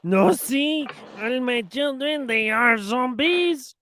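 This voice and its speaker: A man voicing a boastful foreign father of many children, with a thick put-on accent and a slow, drawling delivery. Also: very high voice, animated, pushed and bright, a little hiss or hum, voice pushed up, full character voice. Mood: deadpan.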